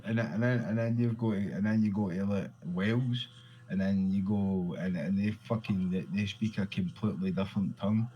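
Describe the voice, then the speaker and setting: A man's voice: lowish voice, steady tone, brisk, muffled recording, a little hiss or hum. A Glaswegian Scottish man in casual conversation, talking loosely, repeating himself and swearing freely. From Glasgow, Scotland.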